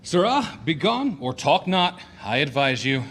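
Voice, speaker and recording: A man playing a blustering, boastful gentleman fortune-hunter in a declamatory Shakespearean audio play. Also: a little high, sing-song, unhurried, a little hiss or hum. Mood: exasperated. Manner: declamatory, swaggering.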